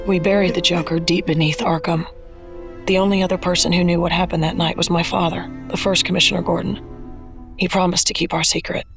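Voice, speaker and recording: Actress as regretful woman, sober, restrained, very even pitch, faint music, audio drama monologue. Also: fairly quick, natural voice. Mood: somber. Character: somber woman recounting a dark secret, regretful.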